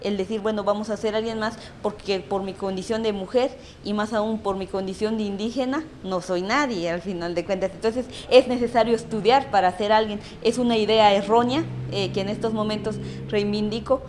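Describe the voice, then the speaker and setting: Thoughtful young Guatemalan woman, pausing often. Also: medium pitch, steady tone, darkish tone, slight background noise. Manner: thoughtful, many pauses with "eh". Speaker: interviewee, activist. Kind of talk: reflective interview answer.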